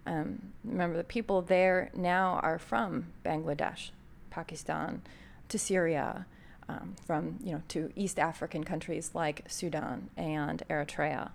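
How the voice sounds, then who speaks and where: A woman's voice: deep voice, fairly even intonation, unhurried, creaky, few pauses, slight background noise. An American woman hosting a podcast, introducing an episode in a thoughtful, conversational way with frequent fillers. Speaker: podcast host.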